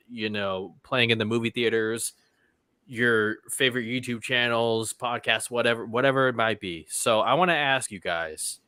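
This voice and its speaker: Dude cohosting a movie chat, bright punchy voice, really dry recording, emphatic. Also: male voice, fairly low pitch, smooth, dry recording. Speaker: co-host of a movie talk show. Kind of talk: casual chat, posing questions.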